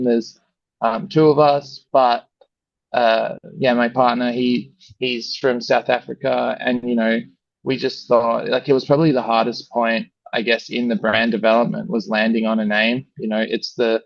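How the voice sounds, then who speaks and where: Gravelly Australian man, flat and rambling. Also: medium pitch, no echo, dull audio. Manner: relaxed, rambling, heavy with fillers like "you know" and "like". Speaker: brand founder, guest. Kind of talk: casual interview chat.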